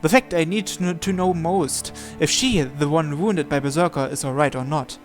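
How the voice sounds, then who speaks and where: A man's voice: a little high, moderate pace, soft background music. A man hosting a visual novel playthrough, reading the first-person narration aloud.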